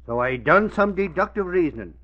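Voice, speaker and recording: A man's voice: high voice, lively intonation, a little fast. A man playing a talkative, self-important newspaper reporter, boasting of his deductions with folksy grammar in 1940s radio acting. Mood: boastful. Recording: muffled old radio recording.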